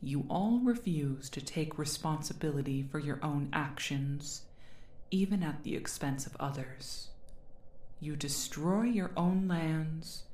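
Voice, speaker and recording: Female performer as demon queen for ASMR roleplay: slow, mellow, imperious, teasingly menacing. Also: sits low, reverberant. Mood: contemptuous. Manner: imperious, condescending, theatrical, drawn-out.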